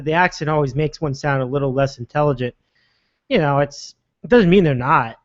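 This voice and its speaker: A man hosting a show, reacting warmly and upbeat, often halting and restarting his sentences. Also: fairly high pitch, no echo. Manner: upbeat, affirming, halting with fillers. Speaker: host. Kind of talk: casual chat. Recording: dull, dry lo-fi sound.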